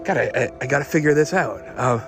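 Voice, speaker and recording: A man playing an excitable, obsessive car lover who records a rambling, stammering, eager audio diary. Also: on the high side, over music, natural voice. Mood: dreamy, obsessed. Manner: halting, stammering, breathlessly eager.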